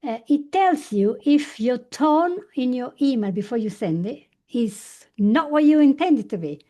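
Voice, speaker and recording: A woman hosts a conversational podcast, her voice sitting a little high for a woman. She prompts her guest with curious, lively questions and talks through her own examples. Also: measured pace. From Italy. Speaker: podcast host. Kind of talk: conversational interview.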